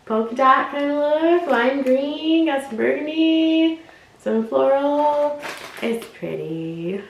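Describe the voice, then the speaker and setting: A woman's voice: sits high, expressive, slow pace, gravelly, echoey room, lo-fi sound, a little hiss or hum. A young woman talking casually in her vlog, bubbly and rambling and laughing often, her nose stuffed up from allergies. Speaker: fashion unboxing vlogger.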